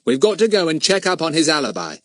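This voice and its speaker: A man voicing a confident, cheeky boy detective in a children's storybook, brisk and well-mannered. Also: fairly high pitch, very animated, no echo, voice pushed up. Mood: planning, confident.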